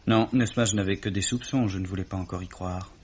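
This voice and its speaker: A man's voice: deep voice, steady tone, quick pace, darkish tone, a little hiss or hum, close to an everyday voice. An actor playing a distraught, impassioned young man with emphatic, theatrical delivery in a 1950s-style French radio drama. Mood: subdued. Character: distraught young Englishman accusing gang of crooks, impassioned. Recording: dull vintage radio recording.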